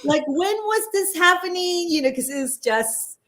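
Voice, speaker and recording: A woman in her forties from Indonesia, a podcast guest chatting casually and laughing often. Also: very high voice. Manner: animated, laughs often, quick asides. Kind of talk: casual interview chat.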